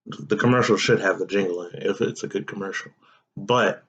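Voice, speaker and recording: A young man hosts a solo podcast at an unhurried, drawn-out pace. His manner is relaxed and chatty, and he keeps addressing his listeners directly. The audio is a little dull and muffled. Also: medium pitch. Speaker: podcast host. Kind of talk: casual solo podcast talk.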